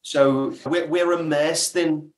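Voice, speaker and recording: A man's voice: fairly high pitch, fairly even intonation, slow pace. A man speaking as an interview guest, explaining his work as a producer in casual talk. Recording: roomy, echoey sound.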